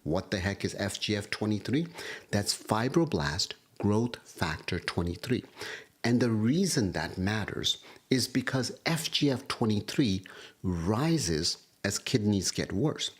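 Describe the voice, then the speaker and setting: A man, a doctor, giving a livestream health lecture, hoarse from a cold and walking through studies point by point. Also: fairly low pitch, big pitch swings, unhurried. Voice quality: husky. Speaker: kidney doctor. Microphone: livestream setup.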